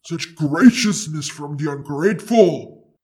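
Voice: mid-range voice, animated, slow pace, mellow, breathy edge. A narrator voicing a huge, proud, possessive dragon in an audiobook story, with imperious, stilted formal speech. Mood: grudging.

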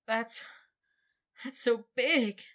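A woman's voice: on the high side, extremely slow, a bit bright, pitched above the performer's own voice. A woman voicing a desperate young man who pleads breathlessly and stammers. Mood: pleading.

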